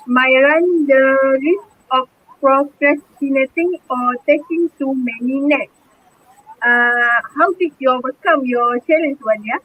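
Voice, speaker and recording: A woman's voice: high-pitched, fairly bright tone, slightly rough. A woman in her twenties with a Malaysian accent, reading prepared answers a little hesitantly, with fillers. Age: 20s. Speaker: student interviewee. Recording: tinny phone-like line.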